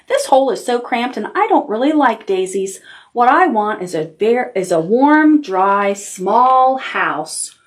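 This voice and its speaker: A woman's voice: on the high side, big pitch swings, unhurried. A woman voicing a friendly, particular dog in a children's storybook, with simple, earnest speech. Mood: wistful.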